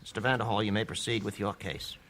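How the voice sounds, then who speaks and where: An actor playing a stern, authoritative male judge who delivers formal rulings in a measured way. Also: lowish voice, steady tone, a bit bright. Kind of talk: live stage radio drama.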